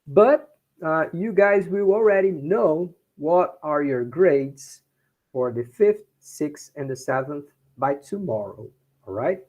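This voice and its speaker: A Brazilian professor with a Brazilian Portuguese accent, teaching a class in English, often checking in with "okay?" and repeating points in Portuguese. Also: male voice, fairly high pitch, lively intonation, takes it slowly, darkish tone. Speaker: university professor. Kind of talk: bilingual class lecture.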